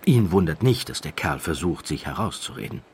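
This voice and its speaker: A man narrating an audiobook story in a measured, deliberate way with theatrical emphasis, close to a studio microphone. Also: sits low, animated, darkish tone, breathy edge. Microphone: close studio microphone, dry.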